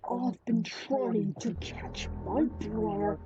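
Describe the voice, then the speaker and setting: A woman voicing a menacing, scornful dark lord in a comic dub, grandiose, with a distortion effect flipping side to side. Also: fairly high pitch, steady tone, slow pace. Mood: scheming. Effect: distortion flipping between left and right. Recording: muffled, distorted, music behind.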